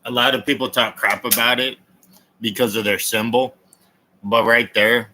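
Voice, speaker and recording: A man's voice: mid-range voice, steady tone, normal speed, sharp tone, a little hiss or hum, low-quality recording. A man chatting casually on a livestream, rambling between topics and answering viewer comments in a blunt, opinionated way. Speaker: livestream host.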